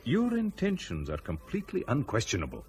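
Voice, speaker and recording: An actor playing a senior fleet pilot in a radio drama, measured and sceptical, reasoning deliberately with sardonic emphasis. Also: male voice, medium pitch, wildly swooping pitch, a little hiss or hum. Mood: sombre, methodical.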